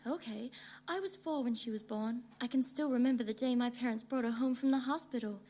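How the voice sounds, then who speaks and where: A woman's voice: fairly high pitch, a little fast, mellow, lightly acted. A woman playing an earnest, troubled female client in a noir detective radio play, speaking dramatically. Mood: pleading, earnest.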